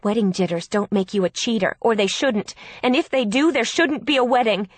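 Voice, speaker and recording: An exasperated older sister, played by a woman narrator, argues in a raised, forceful voice. She is blunt and protective, and the storybook recording is close and dry. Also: a little high, animated, measured pace, no echo, voice pushed up. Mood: despairing, insistent.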